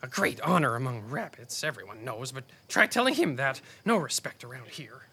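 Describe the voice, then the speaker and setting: Bright, fussy, grumpy rabbit scribe. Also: male voice, medium pitch, very animated, moderate pace, husky, full character voice. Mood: proud, huffy. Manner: fussy, pompous, huffy. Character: grumpy, proud male rabbit scribe, pedantic and easily offended.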